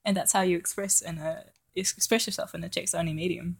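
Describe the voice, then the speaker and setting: A woman's voice: lowish voice, steady tone, fast talker, creaky, breathy edge. Playful young woman podcaster. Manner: playful, laughs a lot, acts out quotes. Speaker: podcast co-host. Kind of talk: casual chat.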